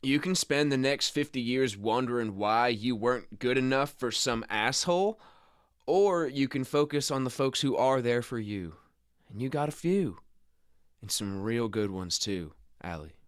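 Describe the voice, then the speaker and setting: A man acting in a radio play as a gruff but kind injured bull rider, talking in a halting, folksy way. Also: mid-range voice, expressive, dry recording, clean studio sound, lightly acted. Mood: gentle, awkward.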